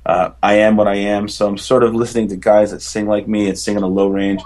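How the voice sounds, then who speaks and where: Male baritone, lowish pitch, chatty and rambling musician guest on a podcast. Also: level tone, quick pace, darkish tone. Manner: rambling, enthusiastic, many fillers and restarts. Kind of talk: casual interview chat.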